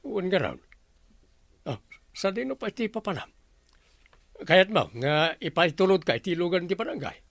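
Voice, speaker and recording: A vain, blustering village chief wheedles in comic radio-drama style. The recording is very dry and close. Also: male voice, sits high, big pitch swings, normal speed, pauses often, a bit bright, no echo, full character voice. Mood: self-pleased. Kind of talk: comedy radio drama acting.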